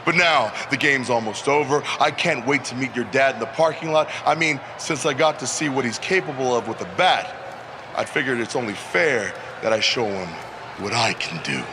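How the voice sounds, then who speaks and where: An actor playing a menacing pro wrestler in a video game, taunting with mock-casual, deliberate storytelling. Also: male voice, medium pitch, animated, natural voice. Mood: smug menace. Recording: clear outdoor background noise.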